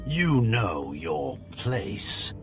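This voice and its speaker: An actor playing a menacing half-machine dark lord in a video game, slow, contemptuous and threatening, with loud music over the voice. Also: male voice, fairly low pitch, very animated, full character voice. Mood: dismissive. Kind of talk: dramatic video game acting.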